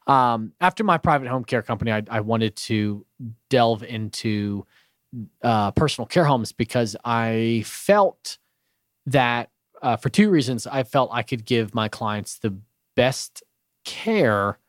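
A man from Bulgaria speaking as a radio guest in a studio, earnest and halting with many restarts. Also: mid-range voice, expressive, no echo. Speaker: radio show guest, business owner. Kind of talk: casual radio interview.

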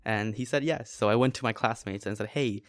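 A young man from Bangladesh, a student co-founder, telling his story at a relaxed pace in an interview. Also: lowish voice, a little fast, dry recording. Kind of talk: interview answers.

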